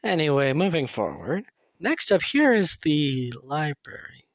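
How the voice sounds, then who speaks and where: A man's voice: a little high, very animated, a little slow, no room sound. A man acting as a nervous, friendly young asylum patient in an audio roleplay, talking in a hesitant, confiding way.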